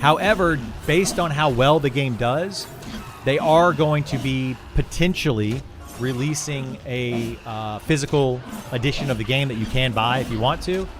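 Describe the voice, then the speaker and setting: A man's voice: mid-range voice, normal speed, music in the background. A middle-aged man, a video game streamer, talking casually and a bit ramblingly as he answers viewers on a livestream. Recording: clear background noise and ambience.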